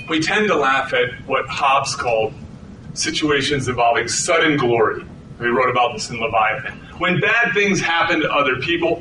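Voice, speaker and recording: A middle-aged man giving a conversational lecture to an audience, with frequent restarts. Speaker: researcher giving a talk. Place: hall with an audience. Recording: echoey hall, distant mic, rumbling noise.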